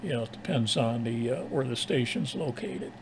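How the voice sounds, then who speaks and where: An American man chatting casually as an amateur radio operator, in a halting, rambling way. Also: mid-range voice. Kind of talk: casual ham radio chat. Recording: clearly noisy background.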